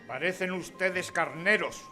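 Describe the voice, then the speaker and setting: A man playing a gentle, courteous village schoolteacher in a radio drama, speaking in a measured, formal way. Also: high voice, soft background music, heavily acted. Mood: quietly stern.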